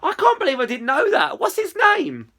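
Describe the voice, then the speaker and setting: A man voicing a friendly, excitable schoolgirl in a children's storybook, bright and playful. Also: a little high, normal speed, creaky, voice pushed up, exaggerated voice. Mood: excited. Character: friendly, excitable schoolgirl who likes drawing and makeup. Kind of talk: children's storybook character dialogue.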